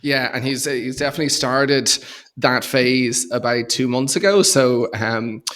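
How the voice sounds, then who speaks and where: A man's voice: mid-range voice, measured pace, a bit bright. A man with an Irish accent speaking conversationally as an interview guest, in a hesitant way with repeated words. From Dublin, Ireland. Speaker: podcast guest, accountant and entrepreneur.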